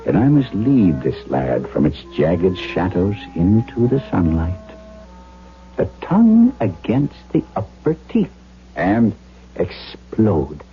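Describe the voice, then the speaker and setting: Deep-voiced, earnest radio doctor. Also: male voice, wildly swooping pitch, soft background music, exaggerated voice. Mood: intense coaxing. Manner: deliberate, dramatic, coaxing, theatrical. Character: earnest, coaxing German doctor, devoted to his young patient. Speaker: actor narrating and playing a doctor. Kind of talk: 1970s radio drama acting with diary narration. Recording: tinny phone-like 1970s radio broadcast.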